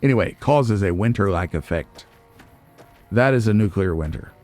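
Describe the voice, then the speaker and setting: A man hosting a let's play with casual, musing commentary, as if thinking out loud to himself. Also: lowish voice, lively intonation, normal speed, faint music behind. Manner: musing, nitpicking, talks to himself.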